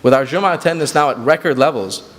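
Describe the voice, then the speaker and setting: Man, grew up in Sri Lanka, earnest speech at a community banquet, mid-pitched, long hall reverb. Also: fast, a bit bright. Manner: earnest, with frequent fillers and restarts. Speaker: executive director.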